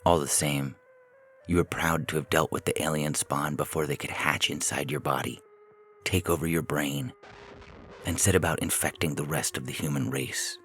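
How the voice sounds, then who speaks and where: A man reading a scripted short story as narrator, in a measured, deliberate way. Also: rumbling low, steady tone, fairly bright tone, a little hiss or hum. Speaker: narrator and podcast host.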